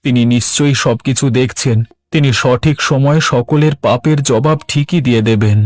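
A man playing a pious, long-suffering fisherman in a cartoon, measured and resigned. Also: medium pitch, a little mellow, no echo, lightly acted. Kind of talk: Bengali cartoon dub.

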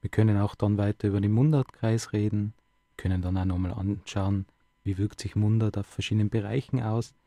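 A man's voice: fairly low pitch, fairly even intonation, brisk, mellow, no echo. A man hosting a live radio interview, talking conversationally and asking many questions. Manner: conversational, asks many questions, tag words. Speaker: radio host.

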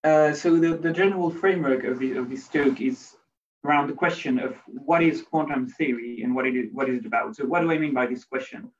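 A man's voice: mid-range voice, brisk. A man, a PhD student, giving an academic talk on a video call, often restarting his phrases. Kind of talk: academic presentation. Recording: distant, dull video call audio.

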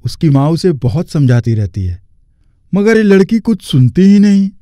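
A man voicing a kindly middle-aged company boss and indulgent father in a cartoon story, in a fatherly, measured way. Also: fairly high pitch, big pitch swings, mellow, no room sound. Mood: exasperated. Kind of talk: Hindi cartoon story acting.

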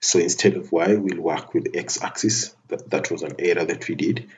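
A man giving a methodical, step-by-step software tutorial over a screen recording, often restarting his phrases. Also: sits low, normal speed, low-quality recording. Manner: methodical step-by-step narration with frequent restarts and fillers.

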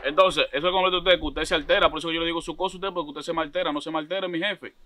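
A man's voice: fairly high pitch, steady tone, very fast, pushed and bright. A man in his thirties with a Dominican accent, making an insistent, repetitive, confrontational prank phone call. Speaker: prank caller. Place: outdoors by a block wall.

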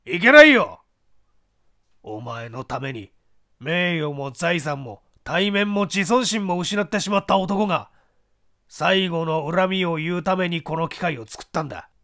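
Man acting a bitter, ruined ex-husband, highly expressive. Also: a little slow. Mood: bitter, desperate. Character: bitter, shabby, ruined ex-husband, desperate and resentful. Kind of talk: dramatic audiobook character reading.

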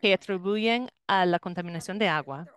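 A woman interprets a talk live, carrying each point across in a steady, fluent flow. Her voice comes through a video call microphone. Its tone is exceptionally clean and smooth. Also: fairly low pitch, normal speed. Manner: steady, fluent rendering with brief restarts. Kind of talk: live consecutive interpretation into Spanish.

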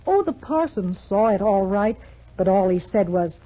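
An actress playing a kindly storyteller on 1940s children's radio, gentle and expressive, with a husky voice. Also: female voice, medium pitch, normal speed, close to an everyday voice. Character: kindly woman storyteller talking to listening dolls. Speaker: storyteller host of children's show. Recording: dull vintage 1940s radio recording.

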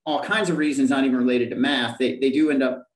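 A man's voice: mid-range voice, fairly even intonation, quick pace, far from the mic. Man, panelist, emphatic, stop-start phrasing, roomy echo, sits back from the mic. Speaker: panelist, principal scientist. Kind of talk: panel discussion. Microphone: headset on a video call.

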